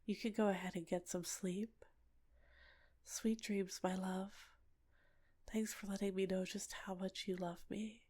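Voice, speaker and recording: Casting: actress as adoring, possessive female fox-spirit wife for ASMR roleplay; hushed, breathy, tender delivery with little pitch movement, medium register. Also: little pitch movement, unhurried, lightly acted. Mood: loving, soothing. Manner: soft, breathy, tender, soothing murmur. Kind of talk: intimate ASMR roleplay, whispered and soft-spoken.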